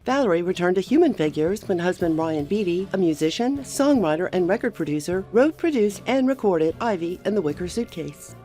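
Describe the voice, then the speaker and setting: A woman narrating a garden program, with an even and polished scripted delivery. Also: lowish voice, a little fast, hardly any pauses, faint music behind. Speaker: show narrator.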